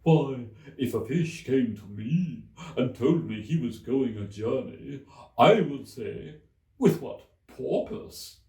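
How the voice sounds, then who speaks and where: A man voicing a mournful, easily offended mock turtle in a storybook reading, with slow, drawn-out, plaintive delivery. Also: mid-range voice, lively intonation, vocal fry, a little mellow, voice pushed up. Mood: matter-of-fact, mournful. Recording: echoey room, distant mic.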